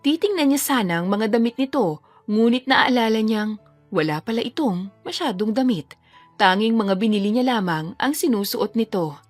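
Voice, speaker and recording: A woman's voice: mid-range voice, dry recording. A woman reading a scripted romance story as a dramatic narrator.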